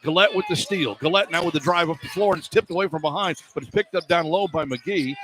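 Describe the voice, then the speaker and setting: Clear arena noise surrounds a man's fairly high voice. He is a radio play-by-play announcer following a basketball game live, rattling off the action in short phrases from courtside. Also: fast talker. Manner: clipped running description, excited on key plays. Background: arena ambience.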